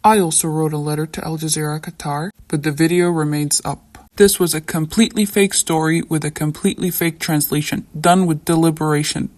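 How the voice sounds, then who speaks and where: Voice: mid-range voice, steady tone, brisk, few pauses, darkish tone. A narrator, a native Somali speaker, reads a scripted debunking in an indignant tone. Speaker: video essay narrator.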